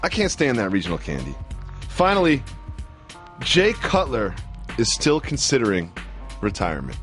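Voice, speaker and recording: A man's voice: medium pitch, wildly swooping pitch, moderate pace, fairly bright tone, soft background music. An American man co-hosting casual sports radio banter, speaking emphatically, often repeating himself and asking lots of questions. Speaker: sports talk show co-host.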